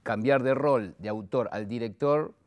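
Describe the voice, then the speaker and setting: A man's voice: mid-range voice, unhurried, dull audio. A man with a Rioplatense Argentine accent, interviewing a guest in a casual, conversational way. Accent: Rioplatense Argentine Spanish.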